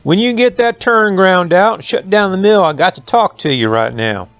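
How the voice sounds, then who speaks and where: A man's voice: high voice, expressive, moderate pace, a bit bright, no room sound, dull audio, pitched above the performer's own voice. A man voicing an old country mill owner in a folk tale, plain-spoken and folksy, with rural turns of phrase. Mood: hollering, gruff.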